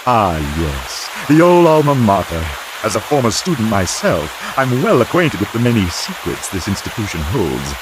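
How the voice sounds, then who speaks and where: A man's voice: on the low side, sing-song, normal speed. A man voicing a pompous, short-tempered mad scientist villain with a nasal, theatrical delivery in a cartoon video game. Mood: haughty, scheming. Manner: pompous, melodramatic, florid diction. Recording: noisy, with cartoon sound effects.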